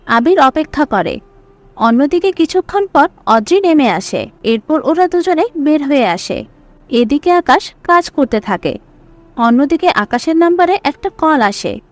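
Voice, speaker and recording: Woman narrating a drama story, pretty high voice, scripted read. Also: very animated, measured pace.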